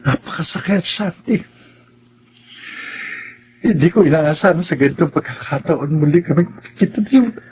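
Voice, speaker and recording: Guy acting earnest young man in a radio melodrama, polite, highish voice, bit of noise behind. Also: animated, moderate pace, long pauses, a little mellow, highs cut off, close to an everyday voice. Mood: grieving. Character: earnest, heartbroken young working man in love.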